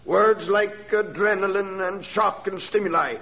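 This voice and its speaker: An actor playing a gentle, devout missionary reverend with a solemn sermon delivery in 1930s radio drama style. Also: male voice, sits high, fairly even intonation, a little slow, creaky, exaggerated voice. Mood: solemn preaching. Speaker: missionary preacher. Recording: dull old radio transcription.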